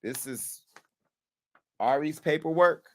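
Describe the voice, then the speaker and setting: Emphatic high-voiced gossip show host. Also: male voice, slow pace. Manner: emphatic, confrontational, repeats points for effect. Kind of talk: livestream commentary.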